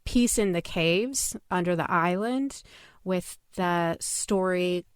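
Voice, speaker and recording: A woman's voice: fairly low pitch, slow, clear, resonant tone, dry recording, studio quality. A woman co-hosting a podcast in casual, analytical discussion. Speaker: podcast co-host.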